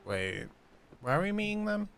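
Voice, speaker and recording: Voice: medium pitch, very animated, moderate pace, a bit bright. A live streamer giving casual, exclamatory commentary while playing a game. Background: game music.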